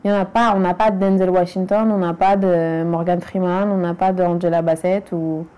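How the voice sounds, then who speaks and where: Woman with a dark, mellow voice. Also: fairly low pitch, fairly even intonation, brisk. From France. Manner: frank and emphatic, speaking directly to the listener. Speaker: documentary interviewee. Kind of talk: casual interview talk.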